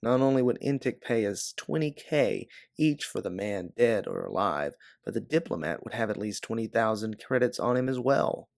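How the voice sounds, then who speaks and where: A man's voice: lowish voice, expressive, moderate pace, gravelly, hardly any pauses, dry recording, clean studio sound. A man voicing a guarded, hard-bitten female bounty hunter in a science fiction audiobook. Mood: recounting, guarded. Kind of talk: audiobook character dialogue.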